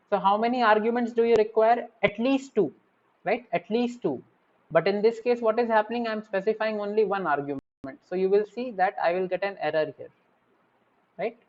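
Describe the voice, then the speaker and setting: A man's voice: high-pitched, a little mellow. A man giving a university programming lecture, explaining step by step and often adding "right?" to check on the class. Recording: dull lo-fi audio.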